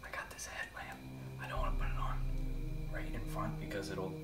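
A young man filming a casual vlog, joking and talking low as he explores a dark abandoned farmhouse basement on a handheld camera. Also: flat delivery, a little fast, whispered, loud music behind the voice. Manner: joking, hushed while exploring. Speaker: vlogger.

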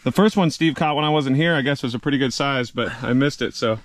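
A man's voice: medium pitch, fast. A man in his thirties vlogging casually to a handheld camera outdoors in the snow, talking in an easygoing, upbeat way. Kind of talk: casual vlog talk to camera. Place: outdoors on a frozen lake in snowy woods. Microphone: camera held at arm's length. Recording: background music under the voice.

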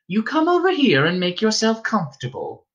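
A man performing a honeyed, scheming innkeeper's wife for an audiobook. She talks up high and lilting, all cooing pet names, with a bright edge under the sweetness. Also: wildly swooping pitch, normal speed, lo-fi sound, full character voice. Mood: cooing, falsely sweet. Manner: coaxing, wheedling, sweetly sinister.

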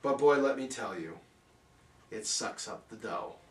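A man's voice: on the low side, expressive, unhurried, reverberant, far from the mic. A man reading a rhyming bedtime story parody aloud in a theatrical, singsong manner.

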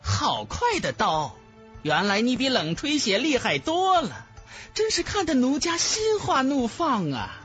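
A man's voice: extremely high pitch, huge pitch swings, a little slow, fairly bright tone, faint music behind, voice pushed up, full character voice. A man voicing a flirtatious, mocking female villain, a seductive gloating enchantress in an audiobook.

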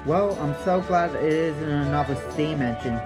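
A woman's voice: deep voice, moderate pace, voice pushed down, natural voice. A woman voicing a grumpy young male steam engine who grumbles and sulks, with music underneath. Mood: grumbling. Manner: grumbling, sulky.

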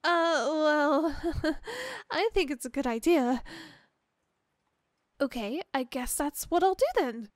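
A woman's voice: high-pitched, takes it slowly, pauses often, no room sound, studio quality. A woman playing a clingy, insecure, devoted young yandere girlfriend in an ASMR roleplay, hesitant and gushing. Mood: happy, adoring. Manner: gushing, hesitant, breaking off and restarting.

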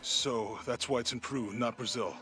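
A man's voice: mid-range voice, moderate pace, bright, forceful tone, natural voice. An actor playing a loyal, down-to-earth male sidekick in a video game cutscene, with natural, easygoing delivery. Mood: curious. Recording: clear music behind the voice.

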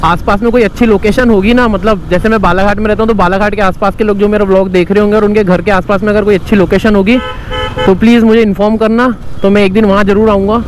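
A young man, talking casually and rambling on while he rides, his voice sitting fairly high. It is picked up by an action camera mic on a moving motorcycle, with clear wind and traffic noise around him. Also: fairly even intonation, fast, low-quality recording. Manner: chatty, rambling, friendly asides to viewers. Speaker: motovlogger. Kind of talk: casual riding commentary. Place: on a motorcycle riding outdoors on roads.

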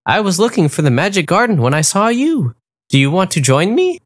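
A man voicing a kind young female flamingo in a raised, gentle voice for a storybook. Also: sits high, big pitch swings, brisk, dry recording, studio quality, pitched above the performer's own voice. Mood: encouraging.

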